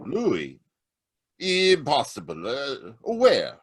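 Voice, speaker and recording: A man's voice: medium pitch, huge pitch swings, extremely slow, slightly rough, heavily acted. A man playing a flustered, stammering office owner in a 1940s-style radio drama. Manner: hesitant, stammering.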